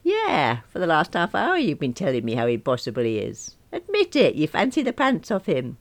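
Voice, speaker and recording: Casting: pushy, giggly best friend, pitched high and lively , a woman reading her. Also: moderate pace, exaggerated voice. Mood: teasing. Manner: teasing, high and chirpy. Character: nosy, excitable female friend.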